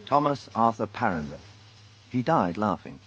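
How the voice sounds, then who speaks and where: A man acting as the narrator of a radio murder mystery, measured and dryly ironic. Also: on the low side, very animated, lo-fi sound, a little hiss or hum. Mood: dry, grave. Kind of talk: scripted radio drama narration.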